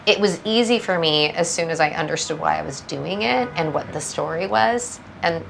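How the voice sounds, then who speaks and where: A woman's voice: deep voice, moderate pace, gravelly, a bit bright, slight background noise. A woman from Southern California, a guest brand founder, talking reflectively in a casual podcast interview and thinking aloud.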